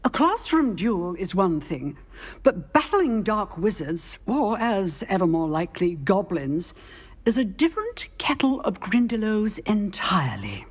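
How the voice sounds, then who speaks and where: Stern old british witch teacher, husky voice, swoops up and down, big echoey hall, game character. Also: medium pitch, sing-song, a little slow. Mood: demanding, approving. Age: older. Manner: crisp, theatrical, commanding. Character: stern, proud old witch professor of duelling.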